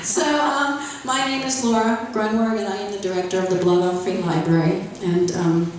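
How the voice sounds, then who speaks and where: A woman's voice: mid-range voice, fairly even intonation, moderate pace, slight background noise. A woman who directs a library, hosting a live stage show with chatty, self-deprecating patter and plenty of fillers. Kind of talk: casual emcee patter to a live audience. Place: large echoey room with a live audience. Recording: echoey hall from far off. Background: audience murmurs and laughter.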